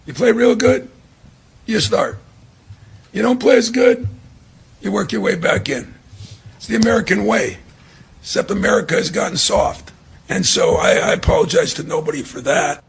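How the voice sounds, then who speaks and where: A man's voice: high-pitched, expressive, moderate pace, gravelly, pauses often. A man talking bluntly and emphatically, unapologetic, with faint noise behind him. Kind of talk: blunt, opinionated talk.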